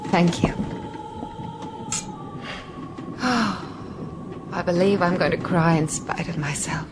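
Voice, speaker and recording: A genteel castaway in a radio drama, weary but brave. Her words come slowly and with deep feeling. Sea and boat noise is clearly heard behind her. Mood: tearful. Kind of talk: broadcast radio drama acting.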